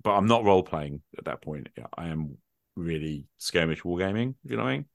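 A man's voice: low voice, lively intonation, brisk, gravelly, no room sound, clean studio sound. A man in a casual, reflective conversation, musing hesitantly and posing rhetorical questions as he goes. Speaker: podcast speaker, tabletop gamer.

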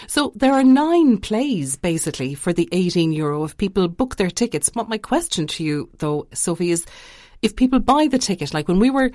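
A woman's voice: mid-range voice, very animated, moderate pace, darkish tone, no echo, clean studio sound. An Irish woman chatting enthusiastically as a radio interviewer.

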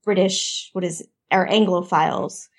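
A woman's voice: on the low side, steady tone, measured pace. A woman with a Canadian accent hosting a podcast discussion, chatty and prone to long tangents. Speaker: podcast host.

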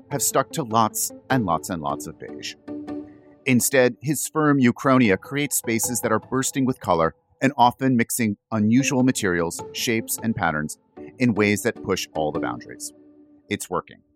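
A male podcast host with a low voice and very animated intonation. He delivers interview questions and scripted reads with polish and a touch of wry flourish. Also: normal speed, soft background music. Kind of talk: interview and scripted sponsor narration.

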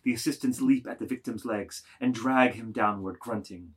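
Husky male narrator of a play. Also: mid-range voice, normal speed. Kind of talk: scripted dramatic narration of stage directions.

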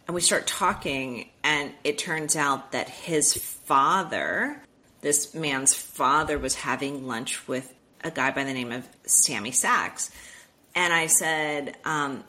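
A middle-aged woman from Miami, a guest author telling family stories in a chatty, animated way. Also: low-pitched. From Miami, Florida. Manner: chatty, animated, acts out quoted dialogue with restarts. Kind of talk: casual storytelling interview.

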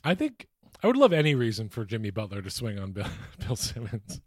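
A man's voice: lowish voice, huge pitch swings, fairly quick, dry recording, studio quality. An American man co-hosting a podcast in a casual, conversational sports-chat style. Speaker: podcast co-host.

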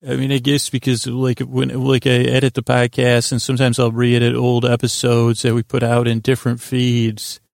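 A man with a gravelly, creaky voice hosts a sleep podcast in a close, dry recording. He speaks in lulling tones at a middling pitch. His monologue wanders and halts, gently poking at himself as it goes. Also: completely flat delivery, no echo. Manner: meandering, halting, gently self-deprecating. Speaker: podcast host.

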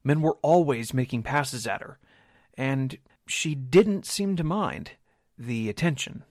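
An actor playing a hesitant, nervous witness in a 1940s-style radio drama, halting and hedging as he confides. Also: medium pitch, animated, darkish tone, no echo, clean studio sound. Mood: confiding.

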